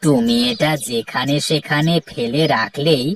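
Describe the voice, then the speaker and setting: A woman voicing a calm, generous male farmer with a slow, steady way of advising. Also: rumbling low. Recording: distant voice with cartoon music behind.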